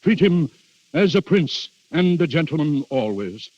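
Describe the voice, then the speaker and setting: Husky, anxious, deferential duke. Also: male voice, on the high side, very animated, a little mellow, no room sound. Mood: grave, anxious. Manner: halting, grave, deferential. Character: anxious, dutiful Italian duke hosting a dreadful guest. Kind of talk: 1930s radio drama acting. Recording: muffled, dull 1930s radio recording.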